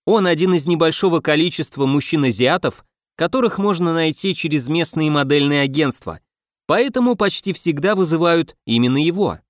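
A man's voice: on the high side, lively intonation, moderate pace, no echo, clean studio sound. A man reading a scripted self-help book as a narrator, addressing the listener directly. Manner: rhetorical questions to the listener. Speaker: audiobook narrator.

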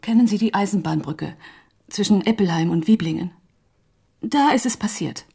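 An actress playing a grieving, doubting woman in a radio drama, speaking haltingly with a breathy, husky voice. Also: medium pitch. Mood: subdued, insistent. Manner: hesitant, halting, hushed. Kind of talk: naturalistic radio drama acting.

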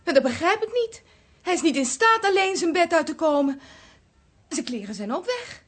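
High-voiced, courteous Dutch nurse. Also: female voice, big pitch swings, normal speed. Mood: flustered, worried. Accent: Netherlands Dutch. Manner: courteous, anxious, helpful. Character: polite, flustered, caring female hospital nurse. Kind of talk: Dutch radio play acting. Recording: noisy archive radio play recording.

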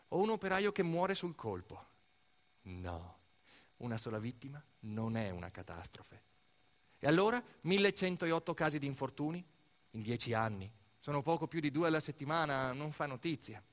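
A man performing a dramatic documentary theatre monologue, telling it emphatically with deliberate repetitions and pauses. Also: a little high, fairly bright tone. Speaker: stage narrator, monologue actor. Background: music behind the voice.

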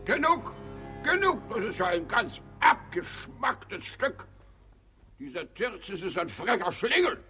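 An actor playing a grumpy, domineering middle-aged hypochondriac father in a German comedy radio play, blustering and imperious with a husky voice. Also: high-pitched, big pitch swings, a little slow, music in the background. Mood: indignant.

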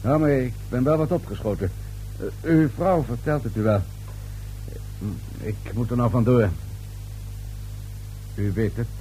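An actor in a radio play playing a calm, sly police inspector with an understated, knowing manner. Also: male voice, mid-range voice, measured pace, pauses often, slight background noise, lightly acted, poor audio. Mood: businesslike. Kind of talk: radio drama acting.

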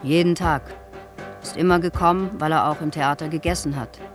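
A man's voice: on the high side, fairly even intonation, fairly quick, lightly acted. An actor playing a plain-spoken male theatre porter giving a witness statement in a radio drama, in clipped, colloquial fragments. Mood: recollecting. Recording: prominent guitar music underneath. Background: guitar music.

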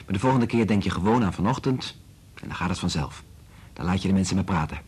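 Radio drama lead: kindly scientist, lowish voice with lively inflection, reassuring. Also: male voice, quick pace, breathy edge, exaggerated voice. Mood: encouraging. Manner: gentle, reassuring, informal. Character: kind, patient male scientist, gentle with children.

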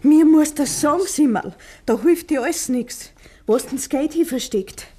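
High, mellow, anxious Bavarian wife played by a woman. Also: normal speed, close to an everyday voice. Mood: urgent pleading. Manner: insistent, searching, grave. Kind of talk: 1950s dialect radio play acting.

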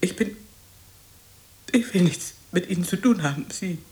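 An actress playing a bitter old woman in a radio drama, scolding and reproachful, halting and repeating herself. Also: lowish voice, expressive, dark tone. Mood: bitter, dismissive. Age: older.

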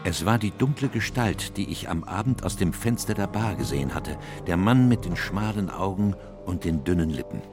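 A gentleman narrator, the watchful, loyal friend of a detective. His voice sits low and moves in a measured, grave storytelling manner. Music runs clearly behind this radio drama narration. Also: lively intonation. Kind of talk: scripted first-person radio drama narration.

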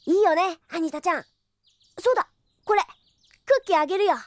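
A woman's voice: extremely high pitch, fairly quick, no room sound. A woman voicing an eager, cheeky young boy in an anime audio drama. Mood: cheerful, eager. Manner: bright childlike, cheeky delivery.

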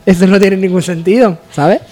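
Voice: medium pitch, unhurried, a little mellow, close to an everyday voice. A man playing a ditzy, giggly female TV presenter in a parody interview, chattering airily and hesitantly. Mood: giggly, flattered.

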